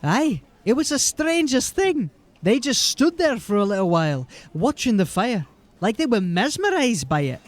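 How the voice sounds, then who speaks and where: A man's voice: very high voice, very animated, moderate pace. A man playing a folksy, rambling, deferential corporal of a fantasy city watch in an audio drama. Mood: earnest. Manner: folksy, rambling, eager to please.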